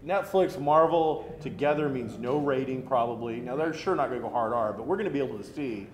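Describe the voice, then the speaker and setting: An American man in his thirties hosting a casual geek culture chat, talking in an animated, chatty way. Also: mid-range voice, brisk. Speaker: talk show host. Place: roomy indoor restaurant at a table. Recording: roomy echo, faint background noise.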